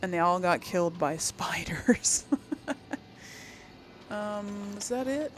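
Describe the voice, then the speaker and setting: A woman's voice: fairly low pitch, expressive, takes it slowly. Woman thinking aloud over noisy gameplay. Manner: thinks aloud in short reactive remarks. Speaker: gaming video host. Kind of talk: casual gameplay commentary, talking to viewers. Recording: clear game noise behind voice. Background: game sound effects and ambience.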